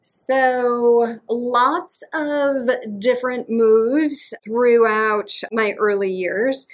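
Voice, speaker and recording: Woman, 30s, Western Massachusetts accent, upbeat, quick enthusiastic bursts, interview guest. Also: fairly high pitch, steady tone. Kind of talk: casual interview.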